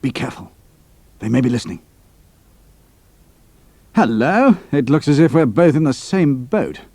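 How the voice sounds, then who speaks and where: A man plays a resourceful young British airman in an adventure story. His officer talk is clipped and offhand, with lively pitch movement and a close, dry sound. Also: unhurried, slightly rough, no room sound. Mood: urgent, guarded. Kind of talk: audiobook character acting.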